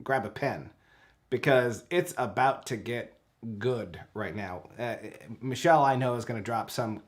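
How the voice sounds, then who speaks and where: A man's voice: medium pitch, unhurried. A man appearing as a guest on a talk show, chatting in a playful, joking way. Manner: playful, jokey. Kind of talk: casual talk show chat. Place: home in Oregon.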